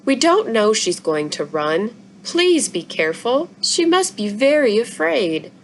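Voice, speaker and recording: A woman's voice: on the high side, big pitch swings, unhurried, voice pushed up. A woman reading a storybook as an earnest, protective young girl who pleads emotionally. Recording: noisy room recording.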